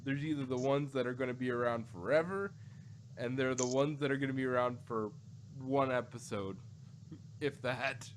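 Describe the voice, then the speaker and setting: A man's voice: mid-range voice, fairly even intonation, moderate pace. Rambling man chatting on a noisy recording. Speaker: podcast co-host reviewing audio dramas. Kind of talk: casual unscripted chat.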